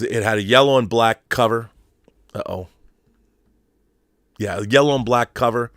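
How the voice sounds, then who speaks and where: A man's voice: mid-range voice, fairly bright tone, dry recording, clean studio sound. A middle-aged American man giving casual, opinionated commentary as a show host, rambling and emphatic, with some swearing.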